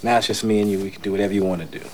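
A man's voice: on the low side, little pitch movement, rapid-fire, a little hiss or hum, natural voice. An actor playing a middle-aged father who runs a day care, easygoing and coaxing with the kids in a film scene. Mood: tender. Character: harried but warm dad minding a crowd of kids.

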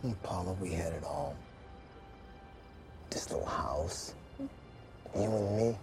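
An earnest, middle-aged American dad in a screen drama. His medium-pitched voice moves with lively swings as he pushes for a second chance. Music plays clearly behind him. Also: brisk. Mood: wistful, hushed. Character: earnest widowed father chasing a second chance. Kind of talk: naturalistic film drama acting.

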